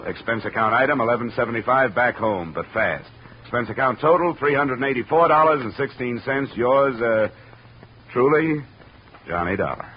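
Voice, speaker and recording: Actor as a low-voiced wisecracking radio detective man. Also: natural voice. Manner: wry, clipped, hard-boiled. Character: wisecracking, self-assured male insurance investigator detective. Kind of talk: 1950s radio detective acting and narration. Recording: muffled old radio recording, faint music.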